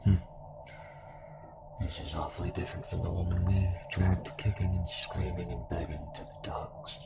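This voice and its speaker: A man playing a calm, sinister cult fanatic fused with a devouring entity, taunting in measured monologue in an audio drama. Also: low voice, vocal fry. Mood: mocking, resigned. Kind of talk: dramatic audio roleplay acting. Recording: music under the voice.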